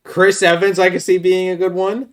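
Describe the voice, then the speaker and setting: A man's voice: high voice, flat delivery, moderate pace. Informal, slangy man in casual podcast chat. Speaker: podcast host.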